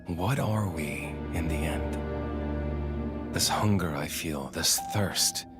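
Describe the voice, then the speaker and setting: An actor playing a weary, brooding warrior who doubts who he is, murmuring in halting musing for a video game cutscene. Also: a bass voice, heavily acted. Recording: loud music under the voice.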